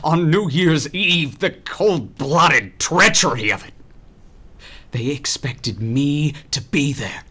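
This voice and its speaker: A man playing a proud city ruler who feels betrayed, speaking with deliberate indignation in a radio drama. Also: a little high, expressive, sharp tone, husky, full character voice. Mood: outraged.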